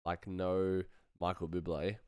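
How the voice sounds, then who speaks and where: A man's voice: deep voice, level tone, takes it slowly, warm tone, smooth, no echo, studio quality. A man hosting a casual live stream as a sound designer, rambling and thinking aloud as he works.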